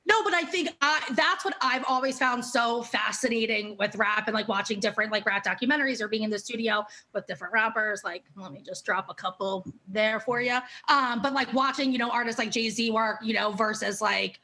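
A woman's voice: a little high, a little fast, hardly any pauses, pushed and bright, poor audio. A woman hosting a casual interview, effusive and chatty, swearing freely and filling with "like" and "um". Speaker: interview host.

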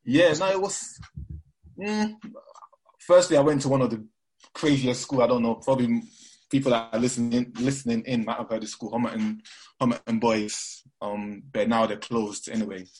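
A man's voice: mid-range voice, moderate pace, a little mellow, slightly rough, poor audio. A young man from Nigeria with a mixed London and Nigerian accent, chatting casually as an interview guest, rambling with lots of fillers and restarts.

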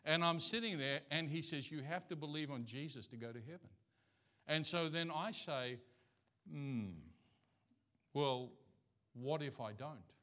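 Bright-voiced Australian missionary preacher. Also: male voice, fairly high pitch, a little slow, long pauses. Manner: conversational, many fillers and restarts. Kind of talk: storytelling sermon.

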